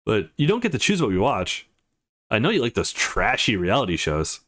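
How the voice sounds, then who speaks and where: A man's voice: fairly low pitch, huge pitch swings, normal speed, a bit bright, full character voice. A man as a laid-back, wry young guy, Jack. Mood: mild teasing. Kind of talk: character dialogue in a visual novel read-through.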